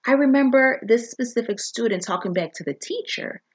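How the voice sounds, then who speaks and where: A woman's voice: fairly high pitch, brisk, slightly rough. A woman from Zambia hosting her own podcast, talking in a reflective, earnest way with frequent restarts. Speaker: podcast host. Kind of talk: casual solo podcast introduction.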